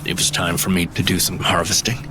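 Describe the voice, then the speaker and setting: An actor playing a shy, halting mushroom forager in a fantasy audio drama. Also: male voice, deep voice, little pitch movement, background noise, natural voice. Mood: uneasy storytelling. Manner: hesitant, halting, not much for talking.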